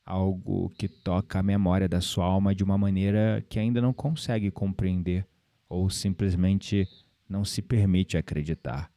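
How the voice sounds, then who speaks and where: An actor playing a gentle, earnest spiritual confidant with a Brazilian accent in an audio drama. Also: male voice, sits low, moderate pace, dry recording. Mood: gentle, mysterious.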